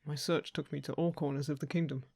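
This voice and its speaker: A man playing a polite, earnest royal envoy in a fantasy audio roleplay, speaking in a hesitant, gently persuasive way. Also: on the high side, fairly even intonation, fast, gravelly, husky, no echo. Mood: concerned, probing. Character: polite, earnest male royal envoy seeking a lost heir.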